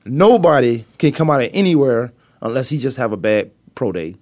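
A man with a Virginia accent talks sports in a loose, animated back-and-forth. His voice sits at an ordinary middle pitch and sounds dry and close, with no room around it. He presses his points hard and doubles back on words as he gets worked up. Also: moderate pace, no room sound. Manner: animated, emphatic, repeats himself when excited. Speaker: sports talk host. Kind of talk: casual sports debate.

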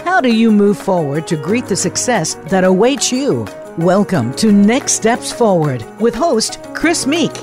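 A woman's voice: medium pitch, sing-song, measured pace, few pauses, a little mellow. A woman reading a scripted podcast intro as the show's announcer. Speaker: show announcer. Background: music.

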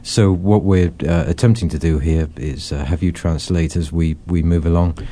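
A man's voice: low-pitched, steady tone, normal speed, mellow. A man hosting a religious discussion, moderating conversationally and often repeating words as he frames his questions. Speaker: host of a religious discussion series.

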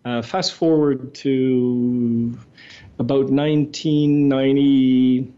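An older man from Montreal, a native French speaker, tells his story as an interview guest with an articulate, steady flow. His voice is dark and mellow, and the recording sounds dull. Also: medium pitch, slow, clear, resonant tone. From Montreal, Quebec. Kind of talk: interview answers.

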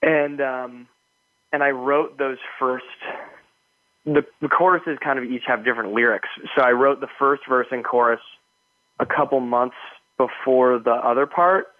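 A man's voice: medium pitch, steady tone, unhurried, no room sound. A male musician, a guest in an interview, talking about his song in a reflective, hesitant way with fillers. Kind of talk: casual interview talk. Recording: tinny phone line, dry.

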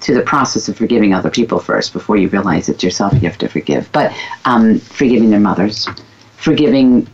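A woman's voice: very deep voice, animated, fairly quick, breathy edge. A woman guest, a former physical therapist, talking candidly and emphatically in casual conversation. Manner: candid, emphatic, self-described loudmouth. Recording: dull lo-fi recording.

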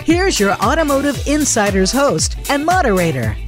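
A woman's voice: high-pitched, sing-song, normal speed. A woman announcer reading a scripted, upbeat podcast introduction. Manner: upbeat promotional delivery. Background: music.

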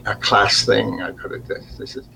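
An older man from England with a faint Liverpool accent, a radio interview guest who talks slowly and haltingly with many restarts. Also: fairly low pitch, fairly even intonation, gravelly, bright, forceful tone, a little hiss or hum, rough recording. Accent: faint Liverpool, mostly softened. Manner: slow and halting, with restarts and fillers. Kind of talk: reflective interview talk.

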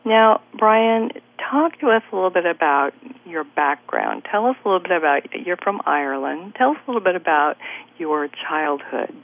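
A woman's voice: fairly low pitch, animated, moderate pace, creaky. A woman hosting a radio interview show, chatty and effusive in her questions. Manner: effusive, chatty, many "you know"s. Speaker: host. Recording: tinny phone-quality broadcast.

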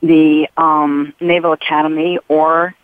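A woman in her sixties from the East Coast of the United States, a naturopath and retired nurse calling in to an interview and telling her career in a steady, orderly way. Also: sits low, slow, dry recording. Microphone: telephone. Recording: tinny phone line.

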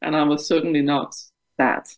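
A woman's voice: low voice, level tone, normal speed, slight background noise, rough recording. A woman in her fifties from Denmark with a Danish accent, speaking frankly and reflectively as an interview guest, laughing at herself. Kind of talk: candid interview conversation.

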